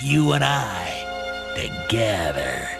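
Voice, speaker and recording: A man's voice: fairly low pitch, big pitch swings, extremely slow, gravelly, full character voice. A man playing a menacing, commanding reptilian tyrant in a radio play. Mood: sinister, coaxing. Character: menacing reptilian male tyrant, seductive then explosive. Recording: music behind the voice.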